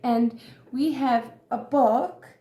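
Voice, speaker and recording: Slow, high-voiced woman storyteller. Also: reverberant. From South Africa. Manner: expressive, addressing young children. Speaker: nursery school teacher. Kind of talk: reading a children's story aloud.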